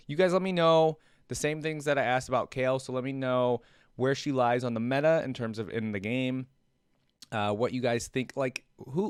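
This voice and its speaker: A man's voice: medium pitch, smooth, dry recording, clean studio sound. A man hosting a reaction video in a casual, off-the-cuff way, rambling as he thinks aloud. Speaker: host of a reaction video channel.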